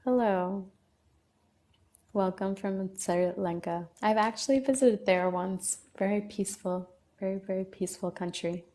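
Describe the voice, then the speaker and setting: A woman's voice: mid-range voice, measured pace, mellow. A woman hosting a casual livestream, reading out viewer comments and answering them one by one. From Lebanon. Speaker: livestream host.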